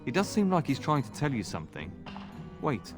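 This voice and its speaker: An actor playing an earnest, eager and courteous young knight in a fantasy video game. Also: male voice, mid-range voice, huge pitch swings, fairly quick, loud music behind the voice. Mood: wry, curious. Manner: polite, boyishly earnest.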